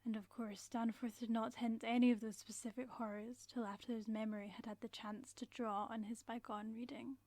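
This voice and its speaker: A haunted woman professor delivers a grave warning as a radio drama monologue. Her voice sits on the high side and stays very level, with formal, deliberate phrasing. The sound is dry and close, with no room around it. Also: flat delivery, a little mellow, dry recording. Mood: haunted, brooding. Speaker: narrator.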